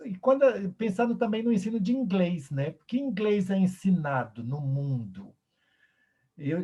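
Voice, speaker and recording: A high-voiced man moderates a video-call discussion. He speaks reflectively, pausing and repeating words as he works through his ideas. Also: mellow. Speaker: moderator, professor.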